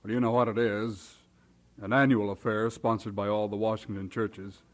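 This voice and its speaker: A man's voice: on the low side, flat delivery, normal speed, natural voice. An actor playing a jovial, teasing clergyman in an audio drama, chatty and genial in manner.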